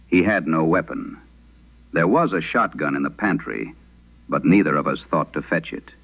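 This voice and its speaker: Very deep, tinny vintage radio horror narrator man. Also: animated, creaky, heavily acted. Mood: ominous, tense. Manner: measured, solemn, deliberate phrasing. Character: late-night radio host gravely reading a frightened mother's letter. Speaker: horror audio drama host reading listeners' letters. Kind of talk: scripted horror storytelling, vintage radio drama. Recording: tinny band-limited old radio sound.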